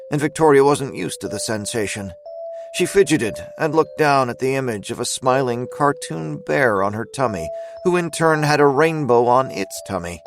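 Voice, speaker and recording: A man narrating a scripted audio drama as its storyteller. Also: medium pitch.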